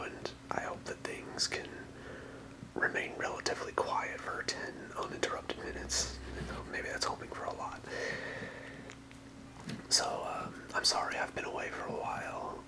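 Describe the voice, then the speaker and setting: An ASMR creator whispering softly and casually, in a hesitant, apologetic way. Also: moderate pace, low-quality recording. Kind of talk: whispered ASMR, casual rambling card-deck showcase. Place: apartment room.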